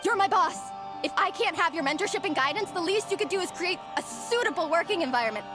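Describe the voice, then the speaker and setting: Overworked, high-voiced young office worker. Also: female voice, animated, fast talker. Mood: fed up, indignant. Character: overworked, exasperated young female office worker with buried temper. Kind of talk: dramatic video game voice acting. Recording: music playing behind the voice.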